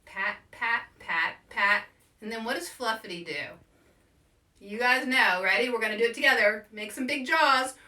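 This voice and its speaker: A woman hosting a children's story time as an author, giving simple, encouraging call-and-response instructions with animated, repeated action words. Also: fairly high pitch, normal speed. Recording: roomy echo, distant microphone.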